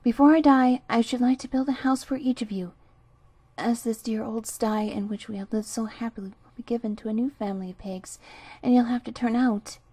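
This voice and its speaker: A woman's voice: a little high, fairly even intonation, fairly quick, warm tone, breathy edge, no echo. A woman voicing an old, feeble mother pig who gives her piglets earnest warnings in a storybook reading. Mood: pleading.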